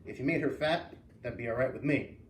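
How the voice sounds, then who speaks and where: A man's voice: mid-range voice, fairly quick, close to an everyday voice. A man reading the part of a tough, protective old farm grandmother in a storybook, blunt and indignant. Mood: praying bluntly. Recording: roomy, distant mic with faint hiss.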